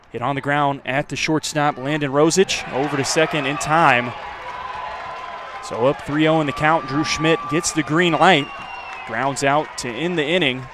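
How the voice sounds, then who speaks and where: A man with an American accent giving live radio play-by-play commentary of a baseball game, measured between plays and louder on the action. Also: medium pitch, noisy background.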